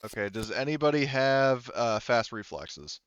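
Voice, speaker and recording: A man running a tabletop roleplaying game as game master, talking casually and haltingly as he thinks aloud through the rules. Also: mid-range voice, animated, a bit bright, smooth, dry recording, highs cut off.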